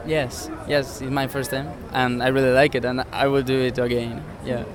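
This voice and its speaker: A man's voice: mid-range voice, level tone, moderate pace. A man in his twenties from Spain, giving hesitant interview answers in simple English outdoors. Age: 20s. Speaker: interviewee, jazz pianist. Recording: crowd noise behind.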